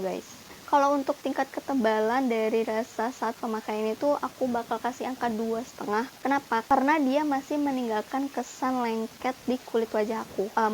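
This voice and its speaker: A woman beauty vlogger chatting casually to camera in a product review, talking to her viewers as guys with lots of fillers, her voice wearing thin and hoarse. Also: a little high, a little mellow, a little hiss or hum, low-quality recording.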